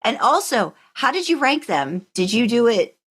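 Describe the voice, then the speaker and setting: A woman's voice: fairly high pitch, big pitch swings, normal speed, husky. A woman co-hosting a podcast in casual, conversational chat. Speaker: podcast co-host.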